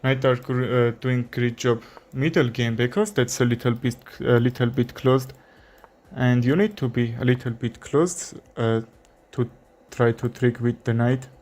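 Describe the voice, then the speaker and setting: Young armenian guy talking thru his chess moves, slow, lots of uh and um. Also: mid-range voice, fairly even intonation, darkish tone. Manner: unhurried, thinking aloud with many fillers and pauses. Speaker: chess streamer. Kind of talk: live commentary while playing chess.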